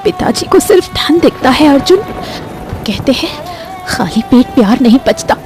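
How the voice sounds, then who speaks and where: A woman's voice: sits high, animated, moderate pace, music in the background. A woman voicing an anxious, devoted young village sweetheart in a cartoon story, emotional and pleading. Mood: anxious, sad. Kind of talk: animated story voice acting.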